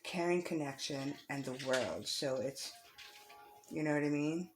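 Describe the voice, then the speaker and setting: Low-voiced woman giving a reassuring reading. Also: measured pace, over music. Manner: encouraging, reassuring. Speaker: tarot reader. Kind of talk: casual spiritual card reading, addressed to the viewer.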